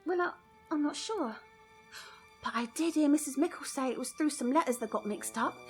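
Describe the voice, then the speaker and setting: Woman acting as fragile lovesick village girl, extremely high voice, plaintive, vintage radio melodrama. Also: fairly quick, faint music behind, close to an everyday voice. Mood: bewildered. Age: young adult.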